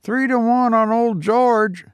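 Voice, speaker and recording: A man voicing a cheeky, excitable young stable boy in a story, talking in street slang. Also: very high-pitched, slow pace, clear, resonant tone, no echo, voice pushed up. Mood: excited.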